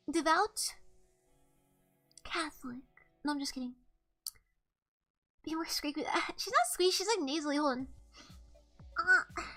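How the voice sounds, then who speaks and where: High, bright, nasal young woman streamer. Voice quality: slightly nasal. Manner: rambling, profane, lots of "like" and "bro". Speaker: streamer host. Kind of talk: casual live-stream chat.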